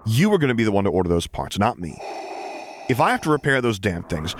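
A man's voice: lowish voice, big pitch swings, fast talker, slight background noise, voice pushed up. A man voicing a sarcastic, exasperated young man with a short temper in an audiobook, blunt and emphatic. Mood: irritated, insistent. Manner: blunt, sweary, emphatic jabbing delivery. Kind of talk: audiobook character voice.

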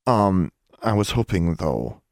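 A man's voice: deep voice, big pitch swings, slow pace, dry recording, clean studio sound, heavily acted. A man voicing a determined, caring schoolgirl in an audiobook, earnest and direct. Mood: hesitant, pleading. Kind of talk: audiobook character voice.

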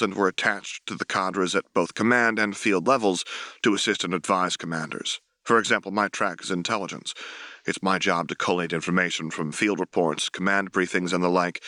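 A man voicing an earnest, self-effacing young military officer in a formal, measured way for a dramatic audiobook. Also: sits low, bright, forceful tone, dry recording, studio quality. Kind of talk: dramatic audiobook dialogue reading.

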